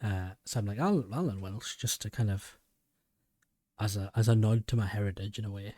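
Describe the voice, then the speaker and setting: Geordie man, lowish voice, mellow tone, dry close sound, casual podcast host, rambling. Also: moderate pace, no room sound. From England. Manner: rambling, many restarts. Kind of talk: casual chat.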